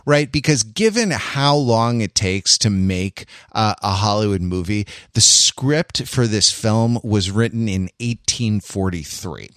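Male panelist, medium pitch, dry studio-like sound, halting delivery with a wry edge. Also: animated, fairly bright tone, no room sound. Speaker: podcast panelist. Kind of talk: casual chat.

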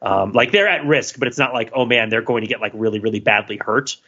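A man from the United States chats on a podcast with a bright, forward tone. He is lively and laughs easily, stacking fillers and restarts as he thinks aloud. The audio is a little dull and lo-fi. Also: on the low side, fast talker. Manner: animated, laughs often, many fillers and restarts. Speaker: podcast co-host. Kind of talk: casual chat.

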